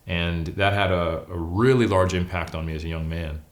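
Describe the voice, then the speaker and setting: Male, forty, American accent, deep voice, casual storytelling, candid, self-deprecating interview guest. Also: fairly even intonation, normal speed. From California, United States. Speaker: interview guest, bodybuilder and academic.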